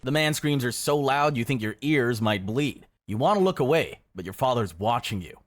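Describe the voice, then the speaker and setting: A man reading scripted second-person dramatic narration in a grim, measured storytelling manner. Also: mid-range voice, animated, slight background noise.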